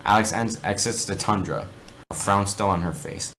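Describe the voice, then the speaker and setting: A man's voice: low-pitched, normal speed, a bit bright, slight background noise, low-quality recording. A man reading fan fiction aloud in an amateur dramatic style, often stumbling and correcting himself. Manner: theatrical, stumbles over words and corrects himself.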